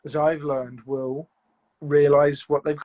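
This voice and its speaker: A man with a slightly raised voice talks through his craft in a loose, reflective ramble. He restarts sentences often and keeps filling gaps with "you know" as he thinks out loud. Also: on the high side, flat delivery, takes it slowly. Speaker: audio fiction producer, interview guest. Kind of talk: casual interview chat.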